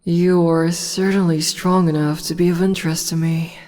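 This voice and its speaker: A woman voicing a regal, amused female demon empress in an ASMR roleplay, talking softly and teasingly with whispered asides. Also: on the low side, little pitch movement, normal speed, big-room sound, natural voice. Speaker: voice actor.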